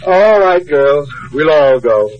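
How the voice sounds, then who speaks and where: An actor playing a teasing, dry-witted American husband with a blustery manner in a 1940s radio sitcom. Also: male voice, fairly high pitch, lively intonation, slow. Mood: blustery, resigned. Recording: dull, noisy old radio, loud audience.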